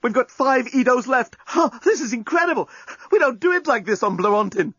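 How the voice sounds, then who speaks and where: A man's voice: very high-pitched, lively intonation, fairly quick, a bit bright, slightly rough, no room sound, pitched above the performer's own voice, exaggerated voice. A man voicing a panicky, injured male alien journalist who gasps and flusters. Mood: flustered. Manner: gasping and flustered. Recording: slightly muffled dry recording.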